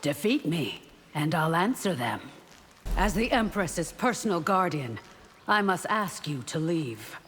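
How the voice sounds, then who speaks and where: An actress voicing a fierce, devout and loyal warrior bodyguard in a fighting game, speaking formally, proud and defiant. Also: female voice, fairly low pitch, very animated, unhurried, fairly bright tone, husky, exaggerated voice. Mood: proud, composed. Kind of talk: video game fight intro dialogue. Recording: reverberant hall, noisy game backdrop.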